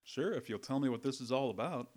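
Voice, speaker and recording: A man's voice: mid-range voice, fast talker, darkish tone, natural voice. A man playing an easygoing, obliging magazine colleague in an old-time radio drama, speaking casually.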